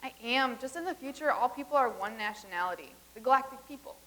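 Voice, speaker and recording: Brief: woman reading as a matter-of-fact future visitor, earnest and deadpan, slightly high voice. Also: quick pace, poor audio. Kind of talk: staged comedy reading.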